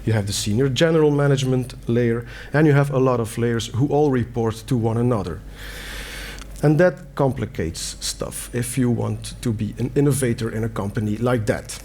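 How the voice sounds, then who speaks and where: A man's voice: medium pitch, normal speed, dark tone, a little hiss or hum. A middle-aged man from Belgium, a native Dutch speaker with a Belgian Dutch accent, giving an earnest conference talk in plain words with fillers and restarts. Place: conference hall.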